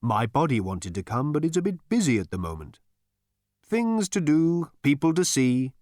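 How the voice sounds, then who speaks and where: A man voicing a disembodied spirit in an audiobook, soft and ethereal, speaking slowly with wistful courtesy. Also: fairly high pitch, very animated, dry recording, clean studio sound, voice pushed up. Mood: wistful, apologetic. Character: disembodied male spirit, deep, quiet, ethereal, wistfully polite. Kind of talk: audiobook character voice.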